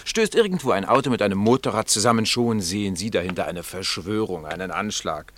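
A man playing a sceptical gentleman companion to a detective in a German radio play, reasoning in an urbane, teasing manner. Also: fairly low pitch, lively intonation, a little fast, a little hiss or hum. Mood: amused reproach.

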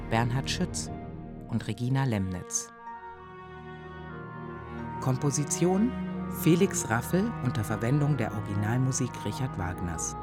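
A man announces radio credits from a script. His mid-pitched voice moves in an animated way, with music clearly playing behind it.

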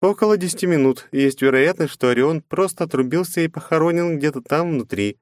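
A male narrator voicing a brisk, teasing female fighter and teammate in an audiobook. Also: a little high, lively intonation, no room sound, clean studio sound, pitched above the performer's own voice, natural voice. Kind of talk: audiobook character voice.

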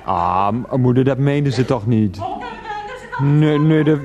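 Flustered son played by a man, pitch swooping. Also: expressive, highs cut off, crowd noise, lightly acted. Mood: incredulous, pleading. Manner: halting, repetitive, exasperated pleading. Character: flustered, pleading son on the phone to his mother. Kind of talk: radio play acting.

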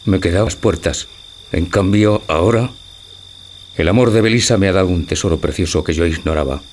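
A man acts out an old husband with a deep voice, gentle and dreamy. He declaims tenderly, like a secretive schemer in a stage tragicomedy for radio. Faint noise and crickets sit behind him. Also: fairly quick, lightly acted. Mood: dreamy, wistful. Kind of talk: theatrical radio drama acting.

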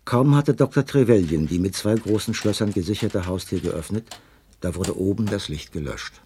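An actor playing a detective's sympathetic companion and narrator in a radio play, with a husky, expressive voice. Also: male voice, fairly low pitch, moderate pace, slight background noise, close to an everyday voice. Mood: narrating, suspenseful. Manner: storytelling, expressive.

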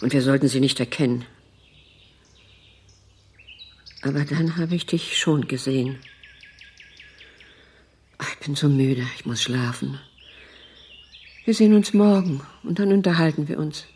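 An actress playing a weary, headstrong older mother in a naturalistic radio drama, husky and speaking in reticent fragments. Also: low voice, normal speed, long pauses, a little mellow. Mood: weary, withdrawn.

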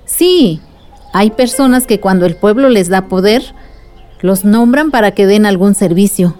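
An actress in a radio drama playing an earnest, patient adult who explains village struggles to a child. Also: female voice, mid-range voice, a little slow, darkish tone, close to an everyday voice.

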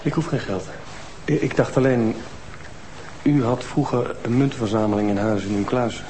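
A man's voice: mid-range voice, normal speed, warm tone, slight background noise, lightly acted, poor audio. An actor playing a desperate father who pleads urgently for his sick child. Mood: pleading. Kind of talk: TV crime drama acting.

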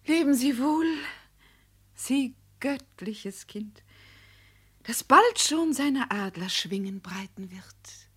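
A woman's voice: on the high side, lively intonation, husky, exaggerated voice. An actress playing a gushing, affected middle-aged provincial noblewoman in a radio drama, effusive and theatrical in manner. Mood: affectionate, grand. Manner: effusive, theatrical, slow and drawn-out. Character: gushing forty-year-old provincial noblewoman, pompous and affected.

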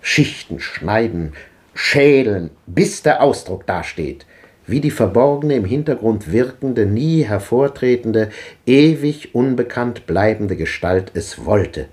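An actor playing an old man who delivers a measured, lecturing philosophical monologue in a 1950s radio drama. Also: medium pitch, animated, darkish tone. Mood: musing.

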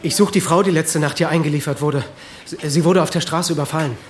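Actor as anxious, hesitant husband, roomy sound. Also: male voice, a little high, fairly even intonation, fast talker, lightly acted. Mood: urgent. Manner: hesitant, stammering, urgent. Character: anxious husband searching for his missing wife. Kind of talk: German radio drama acting. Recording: roomy sound with faint noise.

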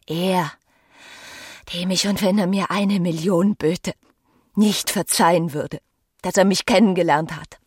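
Desperate ruined wife in a radio play, husky breathy voice, intense, pleading with her old lover. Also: on the low side, steady tone, measured pace, pauses often, a little mellow. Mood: bitter despair. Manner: theatrical, intense, swinging between whisper and outburst. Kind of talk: radio drama acting.

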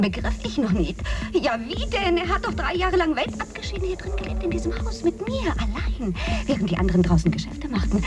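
Radio drama: dramatic lovelorn woman, swooping intonation, whispered turns, over prominent music. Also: sits high. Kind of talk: literary radio drama acting. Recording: loud music behind the voice.

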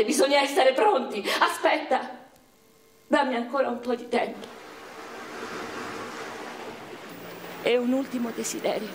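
An actress playing a proud, unrepentant woman revolutionary awaiting execution in prison, in a measured, literary radio-theatre monologue with deliberate pauses. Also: mid-range voice, background noise, lightly acted. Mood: grave, resolute.

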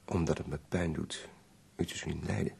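An actor playing a compassionate, thoughtful friend of an ailing poet, narrating in a radio play with a gravelly voice. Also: male voice, extremely low pitch, steady tone, normal speed, a little mellow, full character voice. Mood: gentle, pained.

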